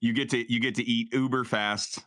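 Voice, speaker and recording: A man's voice: medium pitch, fairly even intonation, normal speed, a bit bright, no echo, studio quality. A man talking casually as a tabletop game player and show announcer. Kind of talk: casual, improvised talk.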